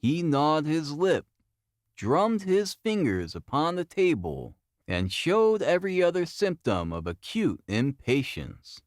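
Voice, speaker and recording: Very expressive male audiobook narrator. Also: a little high, takes it slowly, no room sound, studio quality. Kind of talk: scripted dramatic narration of a classic detective novel.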